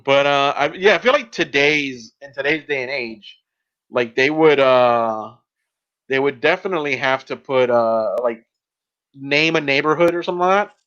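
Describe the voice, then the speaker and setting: A man's voice: medium pitch, normal speed, fairly bright tone. A man hosting a podcast in casual, conversational chat. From Miami, Florida. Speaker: podcast host. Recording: dull, band-limited podcast audio.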